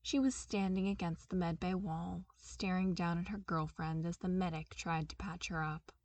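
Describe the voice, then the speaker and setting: A woman reading fan fiction aloud as narrator, with expressive, lively storytelling. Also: lowish voice, normal speed, dry recording. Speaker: podfic reader.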